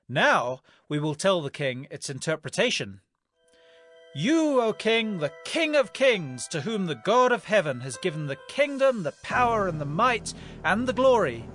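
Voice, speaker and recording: Fervent, high-pitched male prophet. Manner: solemn, emphatic, deliberate proclamation. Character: fervent young male prophet interpreting a king's dream. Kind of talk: dramatized scripture acting, declamatory. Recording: music bed under the voice.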